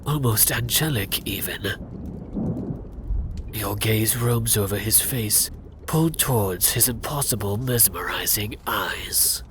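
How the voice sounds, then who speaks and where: A man narrating a scripted fantasy ASMR roleplay in a hushed, often whispered voice with dramatic pauses. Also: lowish voice, noisy background.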